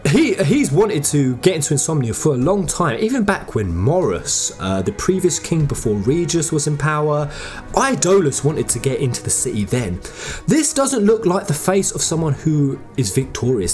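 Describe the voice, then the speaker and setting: A man's voice: on the high side, a little mellow. A man giving informal fan theory commentary as a channel host, speaking emphatically and directly to his viewers. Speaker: host of a video game lore channel.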